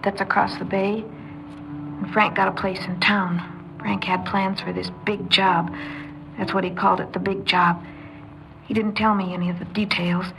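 A woman's voice: mid-range voice, little pitch movement, moderate pace, vocal fry, background noise, close to an everyday voice. An actress playing an anxious, devoted young woman in an old crime radio drama, with an American accent and an earnest, pleading, emotional delivery. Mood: haunted, subdued. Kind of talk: mid-century American crime radio drama acting, confessional narration and dialogue.

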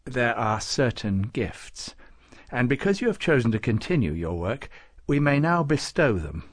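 A man's voice: mid-range voice, sing-song, unhurried. Man voicing a stately, solemn saint. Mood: stately, benevolent. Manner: stately, pronouncing formally. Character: solemn, kindly male saint presiding over council. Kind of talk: storybook character voice.

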